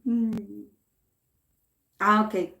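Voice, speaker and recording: A woman's voice: medium pitch, steady tone, drawn-out words, fairly bright tone. A woman from Argentina, a native Spanish speaker, chatting casually in English as host of a livestream, with many restarts. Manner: chatty, many restarts, reading comments aloud. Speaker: tarot reader host. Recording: roomy echo.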